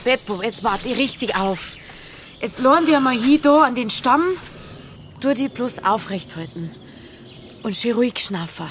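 A woman's voice: on the high side, lively intonation, normal speed, very noisy, close to an everyday voice. An actress playing a plucky, affectionate, teasing young female hiker with a Bavarian accent, in a naturalistic dialect radio drama. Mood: alarmed, urgent.

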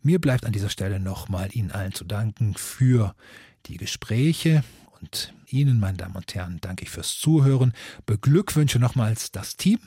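A man's voice: lowish voice, animated, normal speed, mellow, husky, no echo, studio quality. A man moderating an award ceremony as radio host, speaking formally and politely to guests and listeners.

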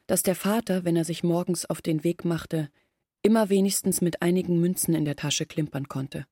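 A woman's voice: lowish voice, dark tone, no room sound, studio quality, lightly acted. An actress playing a poor village woman confessing her family's hopeless decline in a literary radio drama monologue. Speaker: narrating character in an audio drama. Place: dry studio.

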